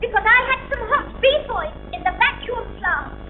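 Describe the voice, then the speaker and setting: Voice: extremely high pitch, animated, moderate pace, echoey room. A performer playing a fussy, vain British housewife turned advert celebrity, with an affected, gushing delivery in a comedy. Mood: bright, sing-song. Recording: tinny band-limited audio with music.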